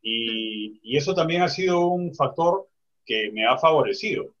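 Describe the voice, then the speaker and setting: A man's voice: a little high, expressive, measured pace, a bit bright, dull audio. A man talking reflectively in a panel discussion over a video call, often restarting his phrases. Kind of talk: casual discussion.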